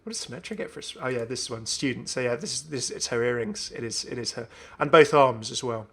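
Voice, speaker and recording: A man's voice: mid-range voice, quick pace, a bit bright, husky. A man hosting a gaming video, commenting casually and enthusiastically as he thinks aloud. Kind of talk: casual game update commentary.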